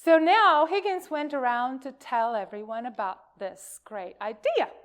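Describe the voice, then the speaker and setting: A woman reading a children's story aloud to a congregation, telling it expressively with emphasis and deliberate pauses. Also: high voice.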